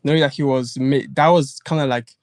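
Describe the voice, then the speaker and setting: A man with a French accent, a native French speaker, calling in with a halting, apologetic question full of fillers. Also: mid-range voice, fairly even intonation, no echo, studio quality. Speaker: caller. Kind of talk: casual call-in question.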